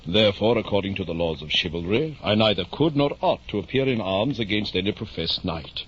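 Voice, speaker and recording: Deluded gentleman knight, middle-aged, grandiose florid declaiming, old radio drama, muffled hissy recording. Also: on the low side, lively intonation, a little fast. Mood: grave, resolved. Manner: pompous, florid, theatrical declaiming. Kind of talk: 1950s radio drama acting.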